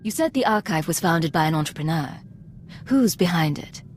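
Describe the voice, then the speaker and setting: Female spy, lowish pitch, cool, dry, probing, faint background noise, video game. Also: expressive, normal speed. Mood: cool, inquisitive. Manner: cool, questioning. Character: cool, probing female secret agent, dry and self-possessed.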